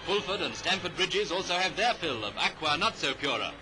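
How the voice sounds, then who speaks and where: A man's voice: a little high, lively intonation, a little fast, low-quality recording. A British man delivering scripted 1930s newsreel commentary in a clipped manner, on a vintage soundtrack. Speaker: newsreel narrator. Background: music.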